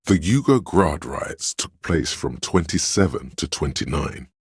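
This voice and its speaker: A man voicing a video game's know-it-all inner voice of encyclopedic trivia, erudite and measured, with a gravelly, husky, very deep delivery. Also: no echo, clean studio sound, full character voice. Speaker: video game voice actor. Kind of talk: scripted game narration.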